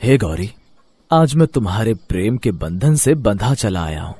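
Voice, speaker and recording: A man voicing a benevolent, affectionate god and loving brother, with stately, formal speech, in an animated mythological story. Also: on the low side, normal speed, husky, dry recording, pitched below the performer's own voice.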